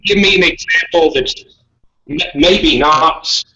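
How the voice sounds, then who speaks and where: Combative man, sharp bright voice, lo-fi call. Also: a little high, slow. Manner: combative, sweary, interrupting, demanding. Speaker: debate guest. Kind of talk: heated argument. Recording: dull, lo-fi online call audio.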